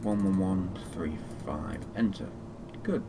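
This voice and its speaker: Male game streamer, deep voice, low-key casual commentary, muttered procedures, noticeably noisy background. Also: slow pace, vocal fry. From Fiji. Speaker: flight simulator live streamer. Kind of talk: casual live gaming commentary.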